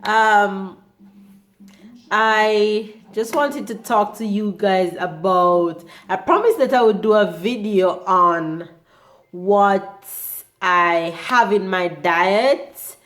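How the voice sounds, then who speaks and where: A young woman, hoarse from a cold, chatting casually in a home vlog about her diet, with many ums. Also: mid-range voice, fairly bright tone. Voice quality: slightly rusty voice. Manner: chatty, unhurried, frequent ums. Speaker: vlogger.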